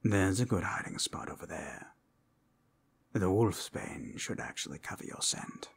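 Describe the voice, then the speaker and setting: A courteous, cheeky woodland stranger played by a man in an ASMR roleplay. The low voice is soft and husky, speaking like someone sharing a secret. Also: lively intonation, measured pace, full character voice. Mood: friendly, conspiratorial. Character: cheeky, polite forest traveler gathering materials, offering help.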